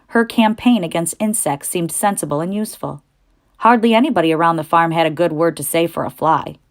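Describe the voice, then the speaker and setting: A woman narrating a children's book aloud as a scripted read-aloud. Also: on the low side.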